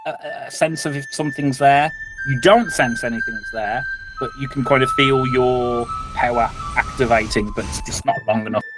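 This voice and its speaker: A man's voice: mid-range voice, normal speed, music in the background, poor audio. A man with a British accent improvising tabletop game narration as game master, colloquial and hesitant as he describes.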